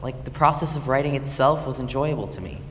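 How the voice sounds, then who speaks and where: Woman playing a very low-voiced, candid schoolteacher. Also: fast. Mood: reflective. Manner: chatty, candid. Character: wry divorced woman schoolteacher chatting over wine. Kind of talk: musical theatre staged reading, conversational. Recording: echoey hall, faint noise.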